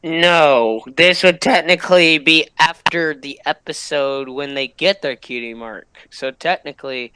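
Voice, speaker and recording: Excitable high-voiced young man, bright and forceful. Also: a little slow, few pauses, smooth, no room sound, low-quality recording. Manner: excitable, gushing, repeats himself. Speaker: reaction video host. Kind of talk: casual reaction commentary.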